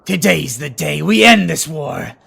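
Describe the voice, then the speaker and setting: A man voicing a stern, hot-headed alien war commander in an animation, with a gruff, commanding and impatient delivery. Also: a little high, expressive, unhurried, sharp tone, exaggerated voice. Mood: rousing battle cry. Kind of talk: amateur animation voice acting.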